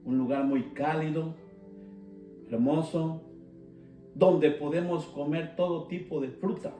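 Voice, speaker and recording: A man preaching a sermon. He speaks with slow emphasis and breaks into fervent shouted calls. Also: fairly high pitch, fairly even intonation. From Guatemala. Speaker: pastor. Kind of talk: live-streamed sermon. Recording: roomy sound, mic at a distance, soft guitar.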